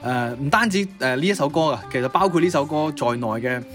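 A man's voice: medium pitch, expressive, fairly quick, fairly bright tone. A young man co-hosting a music-history show, presenting conversationally from prepared notes. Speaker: co-host of music history podcast.

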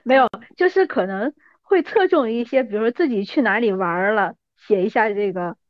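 A woman's voice: fairly high pitch, brisk, no echo, lo-fi sound. A woman in her thirties talking as a podcast guest in a casual, reminiscing conversation. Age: 30s.

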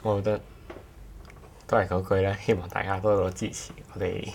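Podcast co-host, man, low register, hesitant conversational delivery. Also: level tone, a little fast, creaky, darkish tone, slight background noise. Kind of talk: casual podcast chat.

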